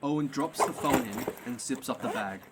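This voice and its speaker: A man's voice: medium pitch, normal speed, heavy background noise, close to an everyday voice. A man reading scripted stage directions as the narrator of an audio play.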